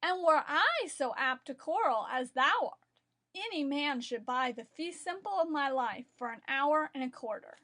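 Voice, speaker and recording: Earnest, cautious young friend played by a woman, extremely high voice, classical verse, close dry recording. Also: expressive, normal speed, pushed and bright, no echo. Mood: wry. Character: cautious, peace-making young male friend. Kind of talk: Shakespeare audiobook dramatic reading.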